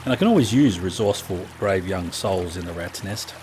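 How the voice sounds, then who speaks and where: A man's voice: sits low, animated, a little fast, noisy background. A man acting a protective, seasoned gang leader with an earnest, reassuring manner in a fantasy audio drama. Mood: amused, accepting.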